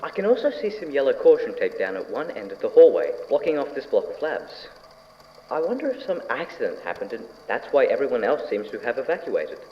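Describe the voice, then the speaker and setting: An eccentric, slightly unhinged male scientist, acted by a man dictates an audio-drama lab log. His pitch swings about as he muses and questions himself with blithe enthusiasm. The tape is dull and lo-fi, with clear noise behind the voice. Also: big pitch swings, brisk, lightly acted. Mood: eager, puzzled. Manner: musing aloud, rhetorical questions to himself.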